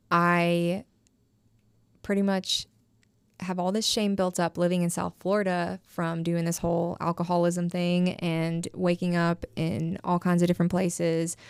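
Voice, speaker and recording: A woman's voice: lowish voice, smooth, dry recording. A woman in her thirties telling her story frankly as a podcast guest, rambling with lots of "like". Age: 30s. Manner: frank, rambling, heavy use of filler "like". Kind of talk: candid personal storytelling.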